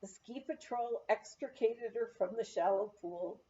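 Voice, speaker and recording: A woman reading a memoir aloud in an expressive storytelling manner, on a slightly dull recording. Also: on the high side, unhurried. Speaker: audiobook reader.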